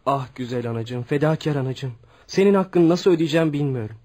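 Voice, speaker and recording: A man's voice: a little high, brisk, mellow, close to an everyday voice. An actor playing a troubled, protective sixteen-year-old son in a radio drama, earnest and downcast as he protests. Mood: worried, loving. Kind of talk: scripted radio drama acting.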